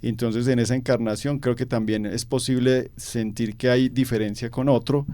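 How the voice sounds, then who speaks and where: A man's voice: medium pitch, fairly even intonation, normal speed, dark tone, no room sound. A young Colombian man with a paisa accent, giving thoughtful interview answers full of fillers and repeated words. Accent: Colombian paisa Spanish. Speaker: interview guest. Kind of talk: reflective conversational interview answers.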